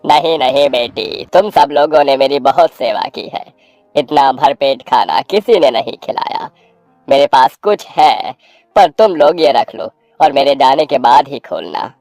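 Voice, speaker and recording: Man playing a gentle old holy man, expressive pitch. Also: a little slow, dry recording, faint music behind. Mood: grateful. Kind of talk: Hindi children's cartoon story voice acting.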